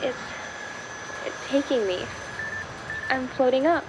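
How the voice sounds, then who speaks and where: A woman's voice: high-pitched, takes it slowly. A woman playing a young American livestreamer caught in an alien invasion, in an audio drama. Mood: panicked, hurt. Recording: noisy outdoor recording with insects.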